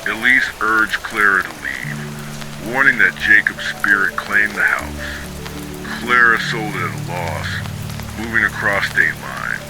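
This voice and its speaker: A man narrating scripted ghost stories for sleep in a measured, dramatic storytelling manner. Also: on the low side, lively intonation, music in the background, poor audio.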